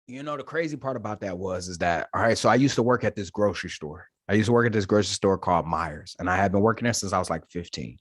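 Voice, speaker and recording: A young man from Detroit, a podcast guest telling his story in casual, animated, slangy chat. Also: on the low side, fast talker, hardly any pauses, dry recording, studio quality. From Detroit, Michigan, USA. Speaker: podcast guest, Marine staff sergeant.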